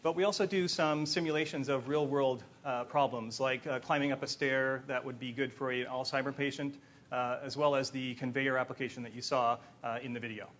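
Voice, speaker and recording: Guy guest explaining a product demo, normal pitch, audio a bit dull. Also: steady tone, fairly quick, fairly bright tone. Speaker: company co-founder, guest demonstrating a system. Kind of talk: conversational product demonstration. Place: TV studio stage.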